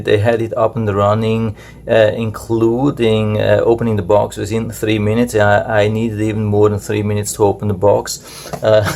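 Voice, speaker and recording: Low gravelly man, German native speaker. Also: slight background noise. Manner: hesitant, many uh fillers, relaxed and explanatory. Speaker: product reviewer. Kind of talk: casual unboxing review. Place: indoors at a table.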